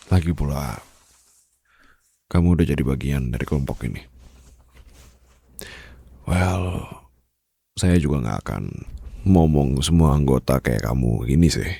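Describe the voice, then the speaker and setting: A man with an Indonesian accent playing a protective, loving mafia boss in an intimate ASMR roleplay, speaking softly and reassuringly in a husky voice. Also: dry recording, studio quality, full character voice. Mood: confiding, smitten. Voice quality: deep, gravelly, husky. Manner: slow, soft, hushed, pausing, gently reassuring. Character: male mafia boss, tender and protective lover. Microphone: close studio condenser microphone.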